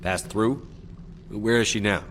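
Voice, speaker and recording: Deep-voiced, commanding space officer. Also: male voice, fairly even intonation, measured pace, a bit bright, a little hiss or hum. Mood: businesslike. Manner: blunt, restrained. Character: steady, commanding male space military officer. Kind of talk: video game voice acting.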